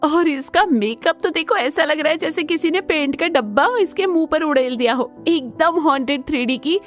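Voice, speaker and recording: High, spiteful young sister, sneering. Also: female voice, expressive, normal speed. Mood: mocking. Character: spiteful, mocking older sisters, young and snobbish. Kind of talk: Hindi family cartoon voice acting.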